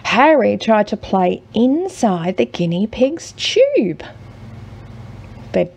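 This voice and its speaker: A woman with a heavily creaky voice reads a children's storybook slowly and expressively. She leaves dramatic pauses and speaks at a middling pitch. There is faint noise in the home recording. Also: big pitch swings. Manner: measured, with dramatic pauses and hushed drawn-out words. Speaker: mother reading a picture book aloud.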